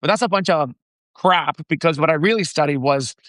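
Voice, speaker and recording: A young man from the Dominican Republic, a founder being interviewed, talking in an animated way with frequent laughs and restarts. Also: on the high side, brisk, no echo, studio quality. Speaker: startup founder, guest. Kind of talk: casual interview chat.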